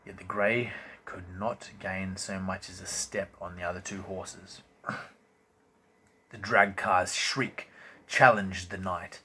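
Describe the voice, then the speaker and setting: Low-voiced man narrating a fantasy novel. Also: steady tone, slow pace, sharp tone, poor audio. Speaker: audiobook reader, host. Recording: amateur recording with faint background noise.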